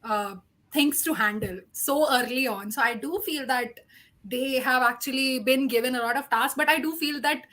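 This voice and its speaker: High, bright-voiced woman debating books. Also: normal speed. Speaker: book club panelist. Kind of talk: casual book discussion.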